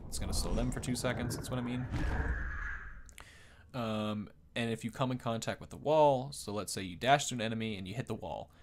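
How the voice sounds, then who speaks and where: A man's voice: medium pitch, slight background noise. A man hosting a gaming video, explaining things in a casual, unscripted, rambling conversational way. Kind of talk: casual unscripted game commentary.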